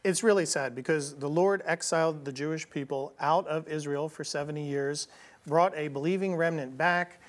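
Animated man teaching Bible prophecy. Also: fairly high pitch, normal speed. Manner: animated, acts out quoted people in casual voices. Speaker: co-host of a Bible prophecy TV program.

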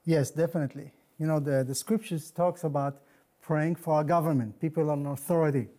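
A middle-aged man whose first language is Hebrew, speaking in a mellow, warm tone at a fairly high pitch. He talks like a preacher, earnest and emphatic, as a guest in an interview. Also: fairly even intonation, a little fast. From Israel. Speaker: guest minister. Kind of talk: interview, testimony and blessing.

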